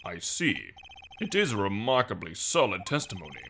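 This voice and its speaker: A man's voice: lowish voice, sing-song, normal speed, bright, forceful tone, slight background noise, heavily acted. A man voicing a pompous, authoritative courtroom judge in a video game fandub, formal and ponderous. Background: music.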